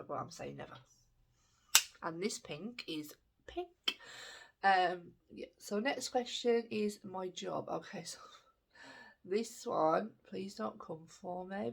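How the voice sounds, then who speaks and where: A woman's voice: on the low side, creaky. A woman in her thirties, chatting frankly and at a rambling pace while doing her makeup for a vlog. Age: 30s. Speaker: makeup vlogger.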